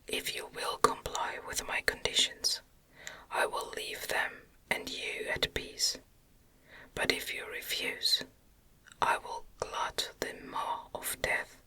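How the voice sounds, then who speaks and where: A woman voicing a wretched, bitter, giant male man-made monster in a breathy whisper, solemn and eloquent, for a gothic audiobook. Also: natural voice.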